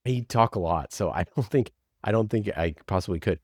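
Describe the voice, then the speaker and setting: A man's voice: on the low side, a little fast, no echo, clean studio sound. A man speaking as an interview guest, answering casually and emphatically, with informal asides in which he quotes himself and others. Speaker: podcast producer, interview guest.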